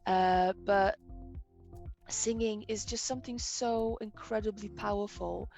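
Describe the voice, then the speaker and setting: A woman hosting a casual interview, speaking hesitantly with many pauses. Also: medium pitch, fairly even intonation, creaky, a little mellow, faint music behind. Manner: hesitant, with frequent pauses and fillers. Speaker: interview host.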